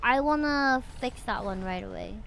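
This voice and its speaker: A woman's voice: a little high, animated, moderate pace, creaky, a bit bright, slight background noise, rough recording. A young woman from the Philippines streaming a game, muttering to herself and swearing as she works through a puzzle. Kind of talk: casual gaming stream commentary.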